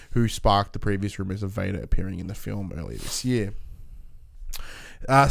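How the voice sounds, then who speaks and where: A man's voice: medium pitch, creaky, clean tone. Man chatting casually on a podcast. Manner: sweary, informal. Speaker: podcast co-host. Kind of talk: casual movie podcast chat.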